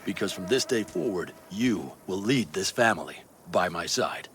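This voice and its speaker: An actor playing a stern, solemn nomad clan leader who speaks in a measured, formal way in a video game. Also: male voice, sits low, lively intonation, a bit bright, a little hiss or hum. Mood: firm, resolute. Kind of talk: cinematic video game acting.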